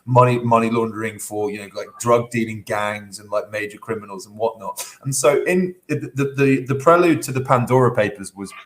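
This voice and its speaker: A man's voice: mid-range voice, brisk, hardly any pauses. A man co-hosting a podcast, talking in a rambling way with many fillers and restarts and scornful asides. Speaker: podcast co-host. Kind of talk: casual podcast commentary.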